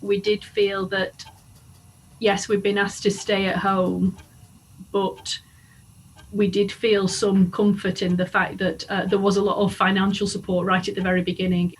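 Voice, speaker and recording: A woman poet living in England, talking quickly and casually with some hesitations. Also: medium pitch, little pitch movement, husky, poor audio. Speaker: poet and arts administrator. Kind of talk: casual interview talk.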